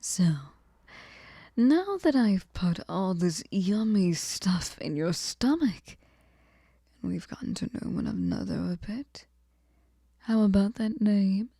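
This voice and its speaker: Woman as obsessive sweet fairy for ASMR roleplay: soft mellow tone, unhurried, coaxing and whispery, close and dry. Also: medium pitch, expressive, no room sound, heavily acted. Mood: cuddly, doting. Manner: breathy cooing, often dropping into whispers, coaxing. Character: sweet, clingy, possessive female fairy hostess, lonely and obsessive. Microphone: close microphone, dry sound.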